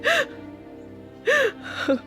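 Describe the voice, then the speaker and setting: A woman's voice: very high-pitched, very animated, extremely slow, bright, forceful tone, faint music behind, heavily acted. An actress playing an anxious, smothering older widowed mother in a radio drama, fretful, tearful and nagging, with self-pity. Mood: tearful reproach.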